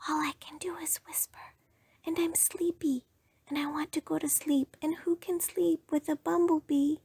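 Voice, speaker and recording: A woman voicing a sleepy little male rabbit who can only whisper, hushed and breathy. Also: high voice, level tone, normal speed, dry recording, voice pushed up. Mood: whispering, pleading.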